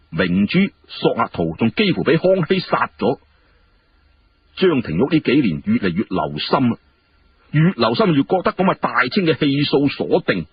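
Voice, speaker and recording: Male storyteller, colloquial and dramatic, very varied pitch, dull radio-like sound. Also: slightly rough. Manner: animated, colloquial, conversational asides. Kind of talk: dramatic Cantonese audiobook storytelling.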